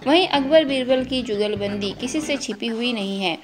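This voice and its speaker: A woman's voice: a little high, moderate pace. A woman narrating a scripted children's story as the host of a storytelling channel. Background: background music.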